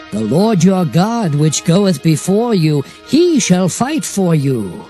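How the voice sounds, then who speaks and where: A man's voice: high-pitched, a little mellow, exaggerated voice. A man playing a solemn prophet who recounts God's commands in a dramatized scripture reading, with emphatic oratory. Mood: solemn, exhorting. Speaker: Bible narrator.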